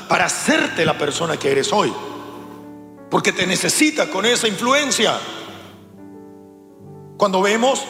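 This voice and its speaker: A male preacher with a Latin American Spanish accent delivers an emphatic sermon. His voice is high and bright, with a noticeable gravelly creak. He speaks straight at his listeners, repeating key points and posing rhetorical questions. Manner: emphatic, direct address to congregation, repeats for emphasis. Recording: echoey hall, long reverb, music behind.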